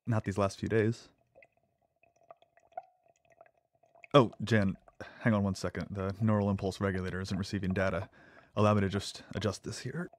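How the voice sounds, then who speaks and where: An actor playing a nervous, devoted lab assistant in an audio drama, speaking earnestly and with hesitant stammers. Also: male voice, fairly low pitch, little pitch movement, brisk, slight background noise, natural voice.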